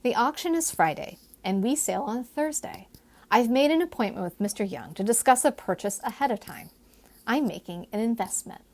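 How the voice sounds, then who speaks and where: Lady doing a confident teasing gent in an old-timey romance audiobook, persuasive, lively pitch. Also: moderate pace. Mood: eager, persuasive. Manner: persuasive, playful, formal phrasing. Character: confident, teasing, scheming young gentleman in historical romance.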